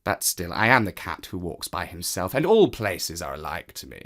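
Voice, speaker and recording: A storyteller voicing a sly, proud, aloof cat in a children's tale, with a drawn-out, self-satisfied delivery. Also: deep voice, sing-song, fairly bright tone, voice pushed down. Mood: triumphant.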